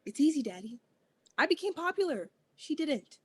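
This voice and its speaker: A woman's voice: high-pitched, sing-song, normal speed. A woman playing a spoiled, popular teenage girl at a table read, whiny and pleading with her father. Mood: whining, snobbish. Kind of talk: table read of an amateur play.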